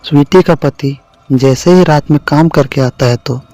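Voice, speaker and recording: A man's voice: on the high side, moderate pace, mellow. A man giving a scripted narration of a horror story.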